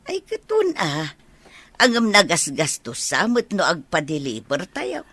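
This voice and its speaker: Woman doing a fond teasing filipino mom, ilocano accent, radio drama, slow and husky. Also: fairly low pitch, huge pitch swings, fairly bright tone, no echo, full character voice. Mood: fond, chatty. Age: middle-aged. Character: excitable village mother, fond and teasing. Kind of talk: comedy radio drama acting.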